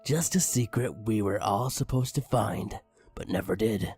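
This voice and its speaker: Husky man reading a mock-serious story over music. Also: on the low side, unhurried, darkish tone. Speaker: narrator reading a parody creepypasta story aloud. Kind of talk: comedic dramatic storytelling. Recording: music bed under the voice. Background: background music.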